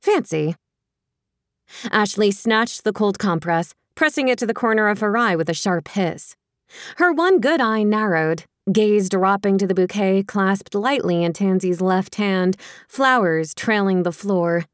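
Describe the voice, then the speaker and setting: Female audiobook narrator for light romantic fiction, mid-range voice, wry and expressive, very dry recording. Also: medium pitch, a little slow, dry recording.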